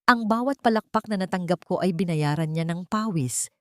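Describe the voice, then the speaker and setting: A Filipino woman narrates a scripted drama in the first person. Her voice sits low and is recorded dry, with no room around it. The delivery is solemn and deliberate, with theatrical weight. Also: a bit bright, dry recording. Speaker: audiobook narrator.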